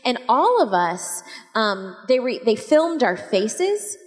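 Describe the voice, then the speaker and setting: A woman voice actor on a convention panel, telling stories in a lively, expressive way with quoted dialogue. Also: a little high, slow pace, faint music behind. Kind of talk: animated anecdote telling. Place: large convention hall. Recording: echoey convention hall.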